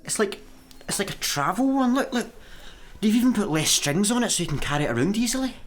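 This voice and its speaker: A boyish, scruffy Scottish spaceman in a radio sci-fi comedy. His pitch swings widely as he flips between excitement and flippant grumbling. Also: fast talker. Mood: excited. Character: scruffy, childlike, easily excited slacker spaceman.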